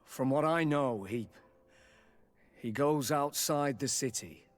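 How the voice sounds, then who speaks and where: An actor playing a deferential, uneasy palace bodyguard in a video game, speaking haltingly and respectfully. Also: male voice, mid-range voice, close to an everyday voice. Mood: reluctant, confiding. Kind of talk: dramatic video game cutscene acting.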